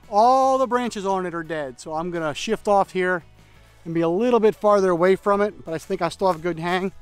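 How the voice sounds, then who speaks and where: A middle-aged man chatting casually on a backpacking vlog, talking outdoors in a forest into a close camera, relaxed and thinking aloud. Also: sits high, clean tone, dry recording. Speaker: backpacking vlogger. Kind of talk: casual trail vlog narration. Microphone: camera at close range.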